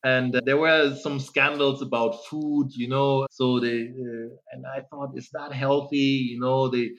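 A man's voice: mid-range voice, unhurried, highs cut off. A man in his forties from Germany, chatting casually as a guest in an interview, often saying "you know". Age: 40s. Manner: relaxed, rambling, many "you know" fillers. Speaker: founder, guest.

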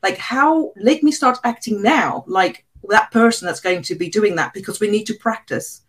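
A woman's voice: medium pitch, normal speed, a bit bright. A woman from South Africa whose first language is not English, a cleaning business coach giving candid, direct answers in an interview.

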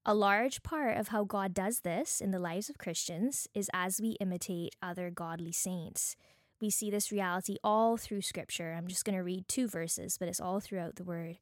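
A woman's voice: medium pitch, no echo, studio quality. Earnest woman with a Bajan accent. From Barbados. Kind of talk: devotional teaching talk from notes, with personal anecdotes.

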